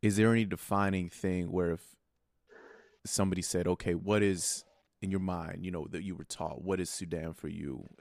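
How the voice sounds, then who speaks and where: A man hosting a livestream interview and putting questions from the chat to his guest in a relaxed way. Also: low-pitched, moderate pace, creaky, no room sound, clean studio sound. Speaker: host.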